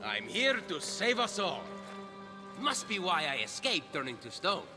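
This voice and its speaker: Cocky, high-voiced heroic big brother. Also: male voice, big pitch swings, a little slow, music in the background. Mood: confident, persuasive. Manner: self-assured, breezy. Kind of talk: video game cutscene acting.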